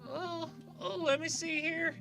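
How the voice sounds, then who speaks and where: A timid eleven-year-old boy who stammers and says sir. The voice sits high. Music runs clearly under the scene. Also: steady tone, measured pace. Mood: nervous. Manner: nervous, meek.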